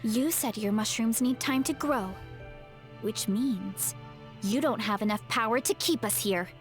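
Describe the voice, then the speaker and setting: A woman's voice: on the high side, a little slow, soft background music, close to an everyday voice. A woman voicing an earnest young woman from a tribe in a game. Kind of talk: game voice acting.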